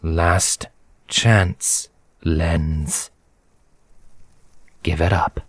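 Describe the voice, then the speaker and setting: A man's voice: deep voice, fairly even intonation, drawn-out words, no echo, heavily acted. A man voicing a smug, menacing bat-pony spy master who speaks in a purring, formal, condescending way in a fantasy story reading. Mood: stern warning.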